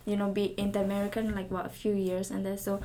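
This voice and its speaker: A young woman from Thailand, a native Karen speaker, answering interview questions in halting English, often pausing to search for words. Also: medium pitch, monotone, dark tone, slight background noise. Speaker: interview guest.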